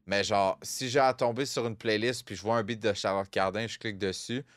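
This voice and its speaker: A man's voice: on the low side, steady tone, brisk, fairly bright tone, dry recording, clean studio sound. A young man with a Québécois French accent, co-hosting a podcast in casual, rambling talk that is full of fillers and English phrases. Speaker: podcast co-host. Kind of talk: casual chat.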